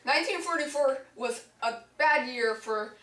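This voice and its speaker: High young female voice, mock-earnest amateur news anchor, echoey room, off the mic. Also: normal speed, fairly bright tone, distant mic. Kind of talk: scripted comedic mock newscast.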